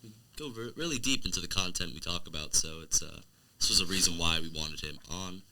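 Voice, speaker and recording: A man's voice: low voice, fairly quick, background noise. A teenage student, male, hosting a podcast and asking questions in a casual way. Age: teens. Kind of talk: casual interviewing.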